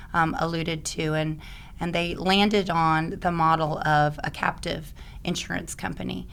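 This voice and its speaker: A woman from Oklahoma, a guest on a podcast, speaks with a raspy, slightly hoarse voice. Her pitch sits low and stays fairly even. She explains things in a friendly, fluent way, dotted with ums. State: hoarse from allergies. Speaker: podcast guest, risk advisor and attorney. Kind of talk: conversational podcast interview.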